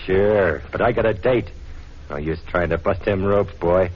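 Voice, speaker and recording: Smug, menacing radio gangster. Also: male voice, low-pitched, unhurried. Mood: gloating, hurried. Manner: tough, slangy, taunting. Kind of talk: 1940s radio acting. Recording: dull, noisy 1940s radio recording.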